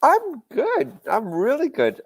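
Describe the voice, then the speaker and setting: A man's voice: extremely high pitch, wildly swooping pitch, takes it slowly, vocal fry, no room sound, studio quality. An Australian man co-hosting a casual live-stream chat, earnest and rambling. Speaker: podcast co-host.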